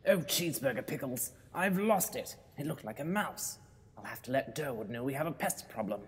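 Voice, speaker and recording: A man playing an excitable, kindly, quaint museum caretaker in a children's audio drama, exclaiming theatrically with quirky oaths. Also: medium pitch, moderate pace, long reverb tail, slight background noise, natural voice. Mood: flustered.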